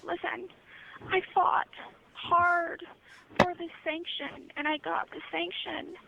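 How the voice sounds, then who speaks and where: High whiny woman on a crackly jail phone, upset and pleading. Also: creaky. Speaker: jail inmate on a phone call. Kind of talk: tearful phone call. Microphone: prison phone. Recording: dull, noisy phone line.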